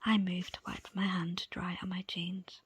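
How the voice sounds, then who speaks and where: A woman reading a book aloud as ASMR in a soft, breathy, unvoiced whisper, with deliberate pauses between short phrases. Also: on the low side, little pitch movement, dry recording. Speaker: audiobook reader. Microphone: close, dry microphone.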